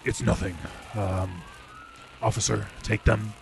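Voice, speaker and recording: A man's voice: fairly low pitch, level tone, takes it slowly. A man playing a weary, dutiful police detective in an old-time radio drama. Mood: sympathetic. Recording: clearly noisy, hissy background.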